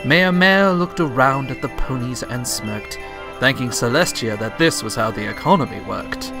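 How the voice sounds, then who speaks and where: Male narrator, medium pitch: theatrical, dramatic rhyming storybook read over a clear music bed. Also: lively intonation, moderate pace.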